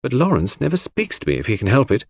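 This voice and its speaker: Here is a man reading as a gentle young woman from a classic mystery audiobook. The voice is raised and husky, swooping as it confides. The delivery is hesitant, wistful and plaintive. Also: mid-range voice, wildly swooping pitch, fast talker, no echo, pitched above the performer's own voice, heavily acted. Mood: gloomy, plaintive.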